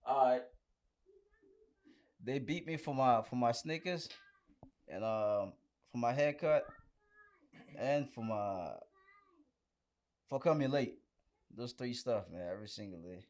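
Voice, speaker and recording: A man telling stories in a casual, animated way with lots of friendly slang, as a podcast guest. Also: mid-range voice, slow, pauses often. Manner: animated, slangy, punctuates with "bruh". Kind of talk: casual storytelling chat, slangy.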